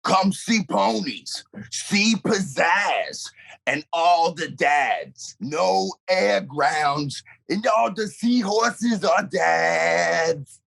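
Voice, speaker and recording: Slow, gravelly woman reading a comic ad. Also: fairly low pitch, animated. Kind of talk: comedic radio advertisement read.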